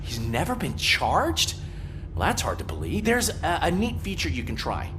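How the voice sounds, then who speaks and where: A woman's voice: deep voice, huge pitch swings, moderate pace, music in the background. A woman playing an eager, chatty police records clerk in a video game. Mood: intrigued. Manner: enthusiastic, chatty. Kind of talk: video game cutscene acting.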